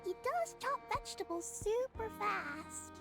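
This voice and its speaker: A very high child's voice over clear music, for a little waitress in a video game. She sounds eager and chatty, her pitch bouncing around with imagination. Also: very animated, measured pace, a bit bright, heavily acted. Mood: excited. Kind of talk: English video game voice acting.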